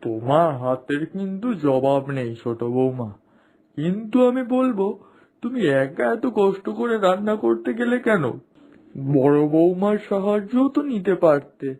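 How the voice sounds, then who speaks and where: A man voicing a kindly uncle-in-law, the elder of a cartoon household, approving and gently advising. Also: high-pitched, big pitch swings, normal speed. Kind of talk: Bengali moral-story cartoon dubbing.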